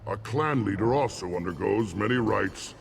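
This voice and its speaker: A man voicing a solemn, zealous alien warrior shaman in a video game, gravelly and ceremonial in his grand declarations. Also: fairly low pitch, animated, moderate pace, slight background noise. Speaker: clan shaman.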